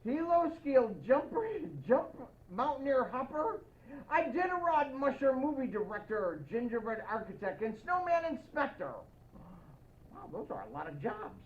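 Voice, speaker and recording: Female storybook reader in a Santa persona, high voice, playful and expressive, slightly dull lo-fi sound. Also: unhurried, slight background noise. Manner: playful, expressive, addressing young listeners. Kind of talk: children's picture-book read-aloud with playful asides.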